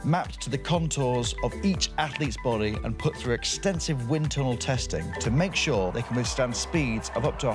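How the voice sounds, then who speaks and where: A man in his thirties hosting a scripted studio explainer, playful with punning asides. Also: mid-range voice. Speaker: show host.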